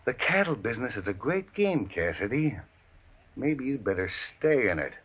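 A short-tempered gang boss from a radio Western. His voice is husky and mid-pitched, his talk blunt and full of threat. It sounds like a dull vintage broadcast. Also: big pitch swings, normal speed. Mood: menacing. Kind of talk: old-time radio Western acting.

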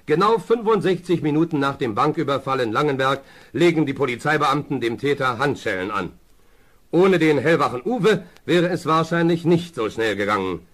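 A man's voice: a little high, animated, normal speed, a bit bright. A man narrating a German children's audio drama in a dramatic, scripted style. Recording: clear vehicle noise behind.

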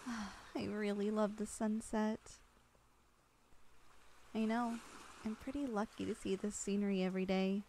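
A young woman speaks in a gentle ASMR roleplay, slightly high-pitched and soft. She sounds tender and teasing, like a girlfriend talking close to you. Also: a little hiss or hum.

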